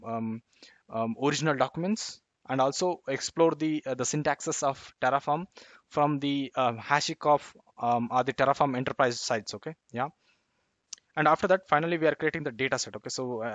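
A man's voice: mid-range voice, a bit bright, no room sound. A man presenting a tech tutorial, talking through code off the cuff, with many fillers and repeated "okay, yeah" tags. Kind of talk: unscripted screen-share code walkthrough.

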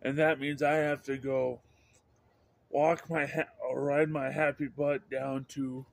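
Middle-aged male vlogger, slow and rambling, many restarts, phone at arm's length outside. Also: medium pitch, level tone, creaky, no echo. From Idaho, USA. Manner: halting, repeats and restarts words, upbeat. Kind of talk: rambling casual vlog. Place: outdoors by a house under overcast sky. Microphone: handheld phone camera at arm's length.

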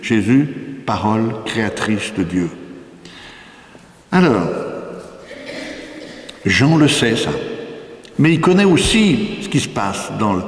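Older French priest preaching in echoing church. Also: male voice, fairly low pitch, very animated, slow, pauses often. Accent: French from France. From France. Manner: didactic, rhetorical questions, emphatic repetition. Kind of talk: spoken sermon, teaching a congregation. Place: inside a church, before an altar. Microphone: microphone on a stand, close to the mouth. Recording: echoey church with long reverb.

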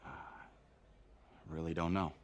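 A middle-aged benefactor with a deep voice. He is courteous, reserved and solemn, in a dramatic game scene style. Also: level tone, a little slow. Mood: solemn, guarded. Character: soft-spoken, grave middle-aged male benefactor. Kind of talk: video game cutscene acting.

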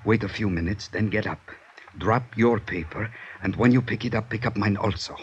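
An actor playing a furtive, anxious male informant, hushed and urgent with careful formal phrasing, in 1950s radio drama style. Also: fairly low pitch, moderate pace, lightly acted. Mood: furtive urgency. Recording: dull, noisy 1950s radio recording.

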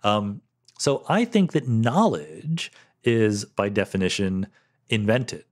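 Low-voiced man with lively intonation. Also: slow pace. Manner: candid, explanatory, frequent "um" and "you know" fillers. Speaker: podcast host answering listener questions. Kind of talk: solo podcast Q&A, thinking aloud.

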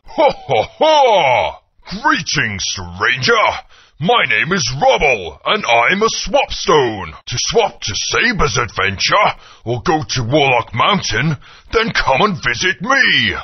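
Rubble, a jolly old Swapstone. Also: male voice, fairly high pitch, huge pitch swings, slow, slightly rough, full character voice. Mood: jolly guiding. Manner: jovial, patient, guiding. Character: jolly old male Swapstone, a magic talking rock. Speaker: game helper character. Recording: dull lo-fi game audio.

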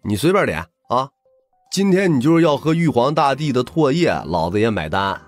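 A man's voice: mid-range voice, moderate pace, faint music behind, natural voice. An actor playing a fawning, streetwise young man who jokes cheekily in an audiobook drama. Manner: cheeky, flattering.

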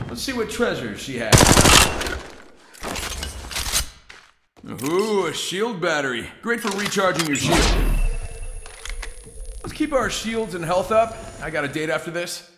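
A man's voice: high voice, big pitch swings, moderate pace, very noisy. An actor voicing a cocky, joking young male combat trainer in a video game tutorial, wisecracking and breezy with theatrical delivery. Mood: upbeat. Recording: music behind the voice.

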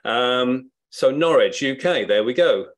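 A middle-aged man with a Norfolk accent from England gives a screen-share webinar tutorial. He talks in a relaxed, encouraging way and thinks aloud as he clicks through the steps. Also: mid-range voice, unhurried. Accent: Norfolk English. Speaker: webinar presenter.

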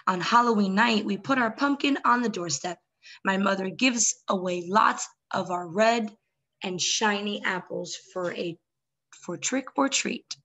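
Young adult female story time host, medium pitch, expressive read-aloud delivery aimed at small children. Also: a little slow, poor audio.

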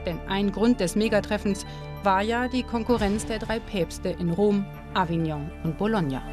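Female documentary narrator, even mid voice, steady scripted delivery, music bed behind. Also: medium pitch. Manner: measured, clear narration. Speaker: documentary presenter.

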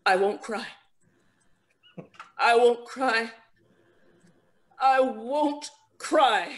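A woman's voice: high voice, expressive, normal speed, fairly bright tone. A woman playing a vain, self-aware actress who narrates her own scene in an arch, wry, theatrical parody style. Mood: fighting tears. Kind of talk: parody stage acting.

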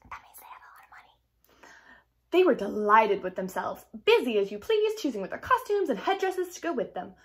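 Young woman doing a kids read-aloud, higher voice, really animated storytelling. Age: young adult. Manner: animated, clearly paced storytelling. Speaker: storyteller reading a picture book aloud. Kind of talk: expressive children's read-aloud with explanatory asides. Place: small indoor room.

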